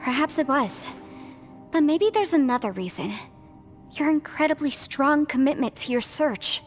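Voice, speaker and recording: A woman's voice: high-pitched, animated, moderate pace, faint music behind. An actress playing a clever, upbeat young woman in a video game, explaining things in an articulate, encouraging manner. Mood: reassuring. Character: bright, clever young woman legal adept, upbeat problem-solver.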